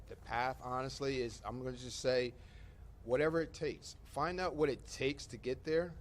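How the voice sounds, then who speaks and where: A man with a mid-pitched voice speaks earnestly, urging his young listeners on in a steady, encouraging way. A faint hiss lingers behind him. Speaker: guest speaker. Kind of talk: motivational advice to youth.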